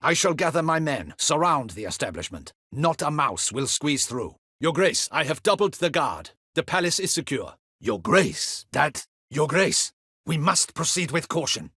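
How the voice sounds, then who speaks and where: An actor playing a stern, dutiful captain of a ducal guard in a fantasy video game, with formal, clipped, courtly military diction. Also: male voice, fairly high pitch, big pitch swings, measured pace, sharp tone, breathy edge, no echo, clean studio sound, full character voice. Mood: firm, dutiful.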